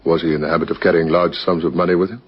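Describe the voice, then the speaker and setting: Radio crime drama detective captain: deep, rough-edged voice, polite and probing, dull vintage sound. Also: male voice, level tone. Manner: businesslike, probing, measured politeness. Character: male police captain, probing, businesslike investigator.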